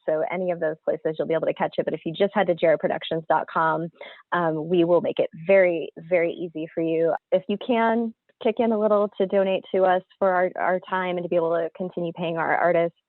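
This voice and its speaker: A woman's voice: lowish voice, fairly even intonation, fast talker. A woman hosting an event as a producer, making casual announcements and thanks. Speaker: theater company producer hosting a launch event. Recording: thin phone-like audio, roomy echo.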